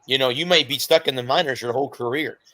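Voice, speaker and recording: A man's voice: medium pitch, fast, pushed and bright, no room sound. A man co-hosting a casual sports talk show, explaining at length and correcting himself as he goes. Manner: rambling, self-correcting explanations. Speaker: co-host.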